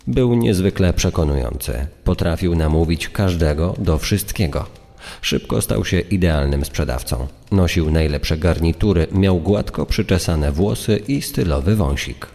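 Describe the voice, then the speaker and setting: A man's voice: deep voice, lively intonation, measured pace, creaky, dark tone. A man delivering scripted, dramatic true-crime narration as a secondary storyteller. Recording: long reverb on the voice.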